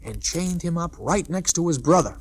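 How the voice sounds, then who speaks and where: A man's voice: a little high, lively intonation, normal speed. A man narrating a scripted children's story in the style of a 1960s record. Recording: old 1960s record, clear background noise.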